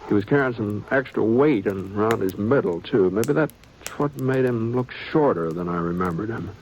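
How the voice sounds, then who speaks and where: A man's voice: fairly low pitch, a little mellow, poor audio. An actor playing a modest drifting cowboy gunman who narrates and talks with a hesitant, drawling stammer in a 1950s radio drama. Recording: old radio broadcast with faint hiss.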